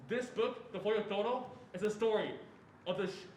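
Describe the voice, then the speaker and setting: A young man performing as an adult man who earnestly recalls his fearful boyhood in a deliberate stage reading. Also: sits high, darkish tone, natural voice. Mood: earnest, reflective. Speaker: student performer reading as narrator. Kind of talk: reader's theatre narration of a first-person memoir. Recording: echoey room with faint noise.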